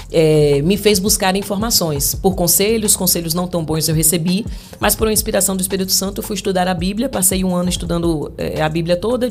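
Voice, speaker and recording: A woman's voice: fairly low pitch, darkish tone, a little hiss or hum. Earnest Brazilian woman teaching on marriage. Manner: earnest, preachy, many fillers and restarts. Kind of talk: podcast interview.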